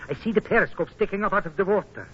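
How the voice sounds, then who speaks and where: An actor playing an earnest, grateful tanker captain, emphatic and dramatic in 1940s radio style. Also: male voice, high voice, racing, pushed and bright, slightly rough, exaggerated voice. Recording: muffled, noisy vintage radio recording.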